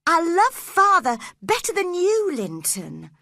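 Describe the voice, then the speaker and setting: A spirited teenage girl, put on by a woman reading a storybook, bright and clear, with lively rises in her voice. The sound is dry and close. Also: very high-pitched, slow, no room sound, pitched above the performer's own voice, full character voice. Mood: defiant. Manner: gentle, then defiant.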